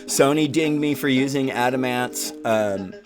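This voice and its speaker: A man's voice: medium pitch, measured pace, over music. A man hosting an online show, talking informally and sardonically in a casual, sweary monologue to viewers.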